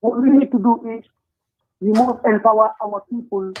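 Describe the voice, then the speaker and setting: A man, speaking as a panel guest in a political discussion, earnest and deliberate with frequent hesitations. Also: very high voice, steady tone. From Gambia. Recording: tinny phone line.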